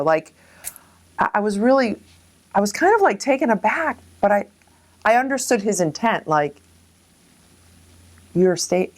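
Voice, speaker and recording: A woman's voice: mid-range voice, darkish tone. A woman reflecting on personal experiences in an interview, informally, acting out bits of remembered speech. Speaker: local resident interviewee.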